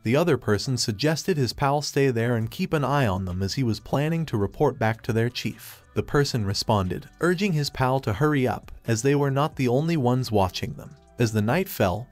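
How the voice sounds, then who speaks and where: A man narrating a comic story recap in a steady, even reading voice, retelling what each character says. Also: medium pitch, moderate pace, hardly any pauses, faint music behind. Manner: steady, even storytelling read in reported speech.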